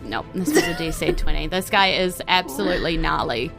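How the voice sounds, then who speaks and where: A woman's voice: low-pitched, animated, brisk, vocal fry, music in the background. A woman with a New Zealand accent, narrating a tabletop role-playing game in a casual, enthusiastic, improvised way as game master and host.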